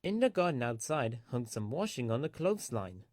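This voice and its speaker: A man's voice: medium pitch, big pitch swings, normal speed, a little mellow, no room sound, clean studio sound. A man reading a children's story aloud as scripted narration.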